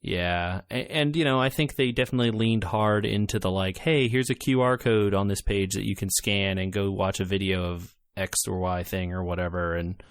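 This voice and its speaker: A man with a lowish voice co-hosts a casual gaming podcast. He retells things in an animated way, often acting out quotes. The sound is dry and close. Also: moderate pace, few pauses, clean tone, no echo. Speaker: podcast co-host. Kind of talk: casual chat about video games.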